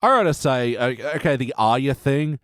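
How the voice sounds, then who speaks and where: A man's voice: mid-range voice, expressive, normal speed, fairly bright tone, dry recording, studio quality. A man co-hosting a podcast in casual conversation, blunt and sweary, often questioning things. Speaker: podcast co-host. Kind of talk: casual chat reviewing a TV show.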